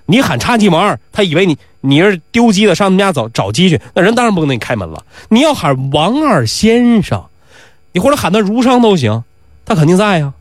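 A man's voice: high-pitched, very animated, brisk, no room sound, clean studio sound, voice pushed up. A man voicing a bossy, impatient master berating his servant in a comic folk tale. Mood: scolding. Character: impatient, scolding master of a household, male.

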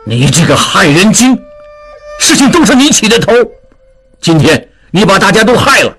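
A woman voicing a bullying male elder who shouts and scolds. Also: medium pitch, sing-song, moderate pace, husky, faint music behind. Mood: scolding.

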